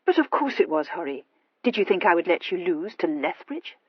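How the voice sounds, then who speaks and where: A woman voicing a suave, unruffled English earl with a measured, dryly amused manner in an audiobook story. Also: lowish voice, slightly rough, no echo, lo-fi sound. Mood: gently amused. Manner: calm, measured, dryly understated.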